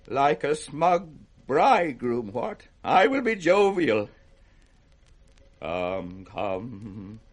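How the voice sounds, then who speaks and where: An older British man playing a mad, grandiose old king in a classic radio Shakespeare drama, declaiming erratically between roars and hushed brooding. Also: medium pitch. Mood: frenzied, defiant. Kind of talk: 1960s radio Shakespeare acting.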